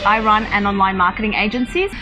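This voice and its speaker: A woman's voice: medium pitch, steady tone, fast talker, music in the background. Woman from Malaysia, casual interview guest. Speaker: interview guest, digital marketer. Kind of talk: casual interview answers, giving advice.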